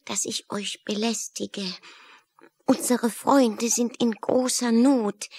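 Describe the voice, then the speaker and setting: A brave young girl in a children's radio play. Her voice is high and earnest, with a slow, deliberate delivery and a polite, plucky temper. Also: no room sound. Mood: polite urgency. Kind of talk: children's audio drama acting.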